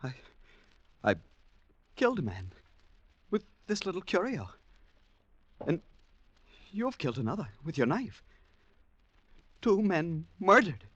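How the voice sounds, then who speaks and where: An actor playing an earnest, mild, nervous gentleman passenger in a 1940s radio drama, polite and stammering. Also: a little high, very animated, slow pace, pauses often, husky, dry recording, dull audio, heavily acted. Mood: horrified, dazed.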